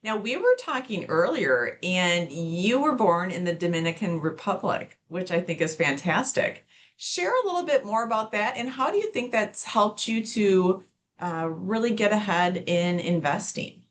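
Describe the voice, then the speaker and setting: A woman's voice: medium pitch, animated, measured pace, a little hiss or hum. A woman podcast host from Wisconsin, leading a casual interview and readily agreeing while adding her own experience.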